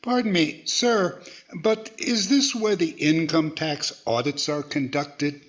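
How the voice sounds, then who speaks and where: A man's voice: a little high, slow, highs cut off. A man playing a polite, slightly hesitant straight man in a 1940s-style radio comedy. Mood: reassuring. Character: mild, placating straight-man ventriloquist, adult male employer.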